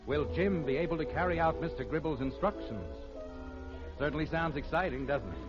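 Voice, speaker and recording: A man's voice: on the high side, expressive, a little fast, natural voice. A man playing a mock-dramatic American narrator of a radio sitcom, reading scripted narration. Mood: mock suspense. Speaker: announcer. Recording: muffled old radio recording with music.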